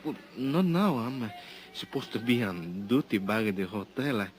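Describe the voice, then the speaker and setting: An actor playing a nervous, deferential young hotel bellboy in a 1950s radio drama. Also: male voice, medium pitch, expressive, moderate pace, natural voice. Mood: eager. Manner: hesitant, deferential, says senor often.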